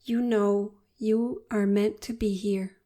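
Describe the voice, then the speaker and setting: A woman narrating a guided meditation in a soothing, unhurried and deliberate manner. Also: medium pitch, level tone, dark tone.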